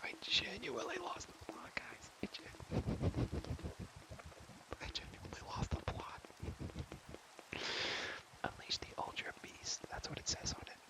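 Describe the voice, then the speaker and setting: A man's voice: brisk, rough recording. Whispering young man doing repetitive ASMR. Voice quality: breathy, mostly unvoiced whisper. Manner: repeats words and phrases over and over. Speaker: ASMR creator and host. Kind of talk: whispered ASMR, playful rambling. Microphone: close ASMR microphone.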